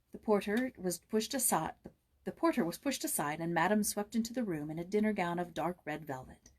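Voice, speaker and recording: Expressive woman reading a children's story. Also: on the low side, breathy edge. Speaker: audiobook narrator.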